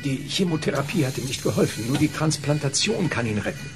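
A man's voice: mid-range voice, animated, quick pace, vocal fry, over music. An actor playing a desperate, self-justifying, cowardly father in a radio drama, agitated and evasive, stammering as he talks. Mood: desperate, pleading.

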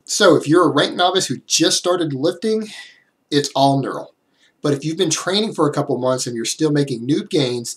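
A man in his thirties, a little hoarse from a cold, explaining fitness science directly to a webcam in a small room at home. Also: medium pitch. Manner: direct, explanatory, talks with hands. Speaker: fitness YouTuber. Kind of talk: explanatory vlog to camera.

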